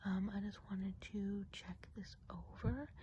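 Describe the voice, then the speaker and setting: A woman playing a kindly, chatty witch of the woods in a whispered ASMR role play, speaking gently and without hurry in a soft, breathy whisper. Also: medium pitch, steady tone, highs cut off. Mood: gently caring. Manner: gentle, unhurried, chatty, hesitant asides.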